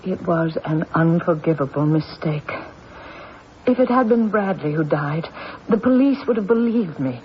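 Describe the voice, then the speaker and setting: An actress playing a bitter, ambitious widow with a dramatic, aggrieved manner in a radio mystery. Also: female voice, low voice, lively intonation, normal speed. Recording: dull, noisy old radio broadcast.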